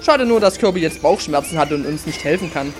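A man's voice: fairly high pitch, animated, fairly quick. A man acting in scripted amateur audio drama dialogue. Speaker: cast member playing himself in audio play. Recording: music behind the voice.

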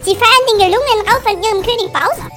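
Tiny squeaky mushroom creature. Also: fast. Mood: informative. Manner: indignant, chirpy. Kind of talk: fan audio play acting. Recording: music behind the voice.

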